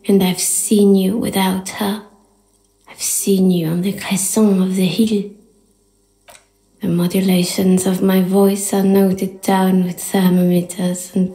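Ancient city spirit in a game, breathy and tender, really slow and steady, echoey. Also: female voice, mid-range voice. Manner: slow, hushed, incantatory. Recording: echoing, reverberant voice effect.

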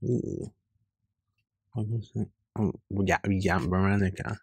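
A man reading a webcomic aloud as a host, excitable, with casual asides and stumbles over hard names. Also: deep voice, a little slow, gravelly, breathy edge, dry recording, clean studio sound. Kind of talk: reaction read-along with casual asides.

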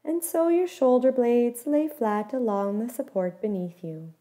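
Higher-pitched, mellow woman guiding meditation. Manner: gentle, measured instruction with soft phrase endings. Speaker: mindfulness meditation guide. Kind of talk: guided body scan meditation.

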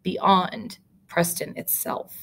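A middle-aged woman teacher reading a storybook aloud, with deliberate, explanatory asides. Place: small indoor room with photo-covered wall.

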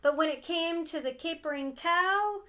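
A middle-aged woman reading a picture book aloud to children, expressive and sing-song, with casual asides. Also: very high-pitched, normal speed. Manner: expressive, sing-song, asking the listeners questions. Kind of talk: animated read-aloud storytelling with casual asides. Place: small room at home with sloped ceiling.

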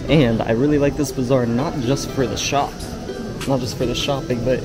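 A man's voice: medium pitch, rough recording. A young man chatting casually as a travel vlogger while walking through a large covered market, filmed with a camera at arm's length. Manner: upbeat, chatty, laughs often. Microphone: action camera at arm's length. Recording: loud background noise. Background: busy market noise.

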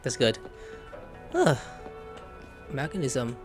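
Male streamer, playful and very animated in pitch, casual game commentary, faint music behind. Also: slow pace. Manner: playful, reacts aloud, reads dialogue in character. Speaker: gaming streamer. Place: indoor room, game audio behind.

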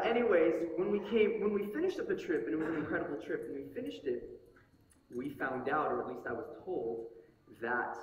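A young man preaching from a church stage on a headset mic, telling a story in an animated, joking way. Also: a little high, highs cut off, a little hiss or hum. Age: young adult. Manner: animated, joking, gestures broadly while talking. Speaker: pastor. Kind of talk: storytelling sermon. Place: church sanctuary stage with pulpit. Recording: echoey hall, mic heard from far off.

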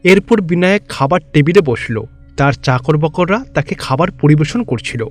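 Male storyteller for scripted cartoon tales: fairly high pitch, light music underneath. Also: lively intonation, normal speed. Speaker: narrator. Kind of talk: scripted fairy-tale narration.

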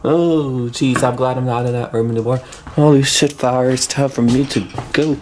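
A man in his late twenties exploring an abandoned house at night, talking low to his handheld camera. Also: fairly even intonation, normal speed, a little mellow. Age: late 20s. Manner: low running commentary to camera. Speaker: paranormal urban explorer. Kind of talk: solo night exploration vlog. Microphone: handheld camera mic. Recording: noisy handheld camera audio.

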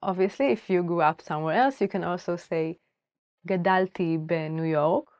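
A woman's voice: on the low side, fast talker, a little mellow, dry recording, studio quality. A young woman with an Israeli accent, a native Hebrew speaker, presenting a language lesson in an instructional manner and repeating phrases. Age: 20s to 30s. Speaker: language teacher. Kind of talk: scripted language lesson to camera. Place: indoor studio.

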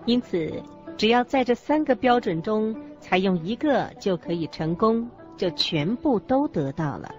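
A woman reading scripted religious teaching as a co-narrator, in a measured, explanatory manner. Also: mid-range voice, animated, a little mellow. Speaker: co-narrator of a Buddhist teaching radio program. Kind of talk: scripted didactic narration in a radio program. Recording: muffled lo-fi audio with music underneath. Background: background music.

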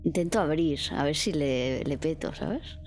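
A woman's voice: deep voice, a little slow, darkish tone, slight background noise. A woman with a Spanish accent playing a tabletop horror game, talking casually as her character. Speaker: player.

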